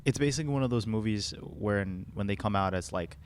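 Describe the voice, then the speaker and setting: Low male voice, podcast co-host, halting delivery, repeated starts, casual, noisy background. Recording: noticeable background room noise.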